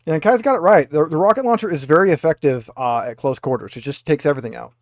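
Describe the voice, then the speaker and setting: A man's voice: a little high, fast, no echo, clean studio sound. A man acting as game master, casually narrating a tabletop roleplaying session and giving rulings.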